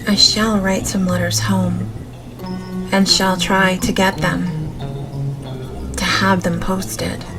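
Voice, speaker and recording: A woman reading a gothic novel as an audiobook in a gentle, measured manner. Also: medium pitch, level tone. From Canada. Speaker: audiobook narrator. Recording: music behind the voice. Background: music.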